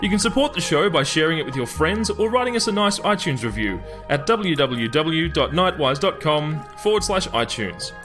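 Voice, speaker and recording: A man's voice: high voice, sharp tone. A man working as a podcast announcer, reading a scripted promotional sign-off. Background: music behind the voice.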